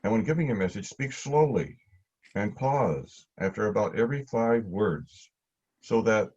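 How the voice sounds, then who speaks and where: Low, dark-voiced man instructing. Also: expressive, measured pace, husky. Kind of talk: scripted instructional narration. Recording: dull, lo-fi audio.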